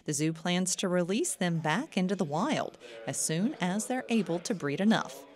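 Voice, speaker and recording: A woman news reporter reading a scripted report with a lively delivery. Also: fairly low pitch, moderate pace.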